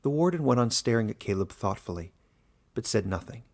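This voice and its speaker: A man reading scripted fantasy narration in a deliberate, dramatic storytelling manner. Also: on the low side, expressive, darkish tone. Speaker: author and narrator.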